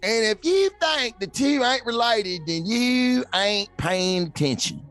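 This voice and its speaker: A folksy redneck preacher with a Southern American drawl, pitched high and swinging widely as he rants from the pulpit. His fiery sermon unfolds slowly, with faint music behind it. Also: lively intonation, fairly bright tone, full character voice. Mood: fervent, rallying. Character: folksy, ranting backwoods preacher man. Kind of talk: sermon parody.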